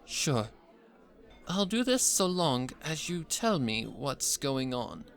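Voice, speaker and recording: A man voicing a refined, supportive adult pony mare, composed and warm-hearted, in a fan fiction story reading. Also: medium pitch, sing-song, takes it slowly, gravelly, exaggerated voice. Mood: agreeable.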